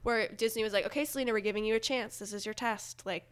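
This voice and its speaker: A woman's voice: a little high, quick pace, smooth. A chatty, opinionated woman talking casually and comedically about pop culture as a podcast guest, with emphatic delivery.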